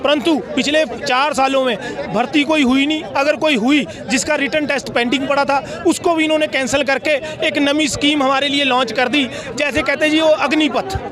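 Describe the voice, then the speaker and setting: A man's voice: very high voice, fairly even intonation, a little fast. A man speaking earnestly and explanatorily to a protest gathering outdoors. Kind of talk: public address at a protest. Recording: crowd noise outdoors.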